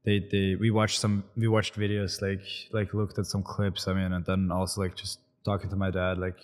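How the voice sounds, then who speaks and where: A young man with an Austrian German accent talks in a lowish voice, feeling his way through each answer with "like" and false starts. His speech trails on in a room with a long, ringing reverb. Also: completely flat delivery. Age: 20s. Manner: halting, many fillers and restarts. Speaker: hockey player guest. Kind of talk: casual interview answers.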